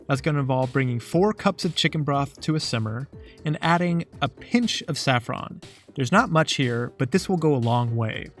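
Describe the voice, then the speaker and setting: A man narrating a cooking lesson in a scripted voice-over with a playful, jokey tone. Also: mid-range voice. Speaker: cooking show host.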